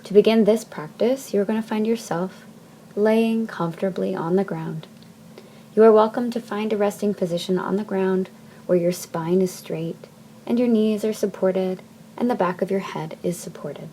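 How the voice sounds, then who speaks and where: Soft, mellow woman guiding deep relaxation. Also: a little hiss or hum, low-quality recording. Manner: soft, soothing, measured phrasing with long pauses. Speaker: yoga nidra teacher. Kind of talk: guided meditation, slow instruction.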